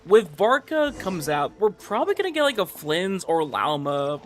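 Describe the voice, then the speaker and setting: A young man livestreaming a game, chatting casually in a rambling, halting way with animated emphasis. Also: sits high, soft background music. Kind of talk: casual livestream chat over gameplay.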